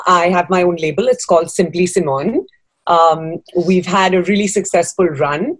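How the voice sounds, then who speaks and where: A woman's voice: on the low side, moderate pace, breathy edge, no echo. A woman in her thirties from Dubai, giving conversational interview answers on a video call from a room at home. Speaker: guest, fashion designer.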